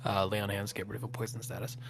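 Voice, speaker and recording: A man running a tabletop game, explaining rules quickly and tentatively with fillers. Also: lowish voice, level tone, gravelly, faint music behind. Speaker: game master.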